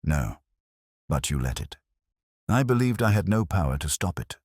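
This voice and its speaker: A composed, fatalistic stranger acted by a man in a mystery audiobook. His voice is extremely deep, with a measured self-possession, in a very dry recording. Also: big pitch swings, husky, dry recording, full character voice. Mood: defiant, composed. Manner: eerily composed. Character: composed, fatalistic male stranger claiming foreknowledge.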